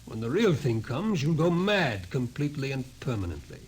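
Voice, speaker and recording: An actor playing a grave, fatalistic scientist in an old-time radio drama, explaining things ominously. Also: male voice, medium pitch, very animated, normal speed, husky. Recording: noisy vintage radio recording.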